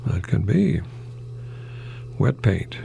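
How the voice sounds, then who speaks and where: An older American man, a retired professor, giving a folksy, rambling radio monologue in a gravelly, husky voice with pauses mid-phrase. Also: fairly low pitch, sing-song, warm tone, slight background noise. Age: older, retired, 60s or above. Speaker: retired English professor hosting a radio program.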